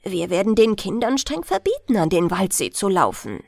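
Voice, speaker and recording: A woman's voice: mid-range voice, huge pitch swings, normal speed, vocal fry, darkish tone, dry recording, clean studio sound, heavily acted. A woman voicing a sly, practical, persuasive woodcutter's wife in a fairy-tale audiobook. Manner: cunning, persuasive.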